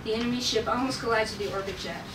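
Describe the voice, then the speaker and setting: A woman's voice: a little high, racing. A woman reading stage directions aloud as narrator at a table read of a script. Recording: echoey room, distant mic, noisy.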